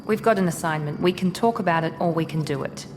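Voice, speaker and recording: A woman's voice: fairly low pitch, fast, music in the background, lightly acted. An actress voicing a guarded female Cerberus operative in a video game, speaking in a curt, aloof manner. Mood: cool. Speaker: game character. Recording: game audio with long reverb and clear hiss.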